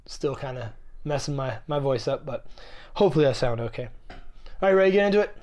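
A man co-hosting a casual podcast, voice a little raspy from a cold, joking and laid-back. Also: medium pitch, animated, fast, a little mellow. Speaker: podcast co-host. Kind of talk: casual chat.

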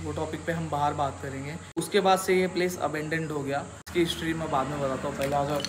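A man vlogging as he explores an abandoned school by day, talking in an excited, spooked way and mixing in English words. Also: a little high, fast. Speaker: vlogger exploring a haunted place. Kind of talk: casual walk-through vlog. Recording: loud insect and cricket noise behind.

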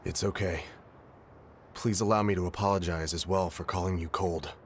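A man voicing a deep-voiced polite young brother. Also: steady tone, moderate pace, a little hiss or hum, natural voice. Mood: subdued. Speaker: game character. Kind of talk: game cutscene voice acting.

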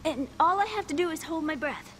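A frightened, high-voiced young captive. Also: female voice, animated, slight background noise. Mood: fearful. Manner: fearful, emotional, halting. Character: frightened, distrustful young woman, a bitter captive. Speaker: voice actor in a video game. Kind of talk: dramatic game cutscene acting.

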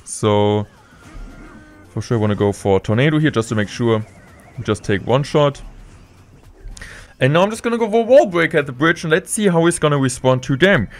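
A young man streaming gameplay from a room indoors, talking through each move in steady play-by-play with excited bursts at big plays, a little sick. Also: medium pitch, normal speed, long pauses, faint music behind. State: slightly sick. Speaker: game streamer. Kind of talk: live gameplay commentary.

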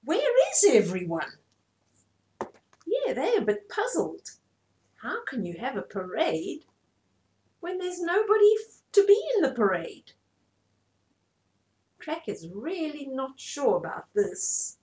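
A woman in her fifties reading a story aloud to children in an animated way, often asking the listener questions. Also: high voice, takes it slowly, long pauses. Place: small indoor room at home.

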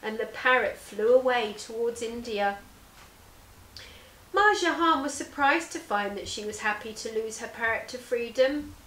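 High-voiced woman storyteller in a roomy space. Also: a little slow, pushed and bright, recorded from a distance. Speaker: teacher reading a picture book aloud. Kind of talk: expressive children's storytelling. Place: living room sofa, indoors. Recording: roomy indoor echo.

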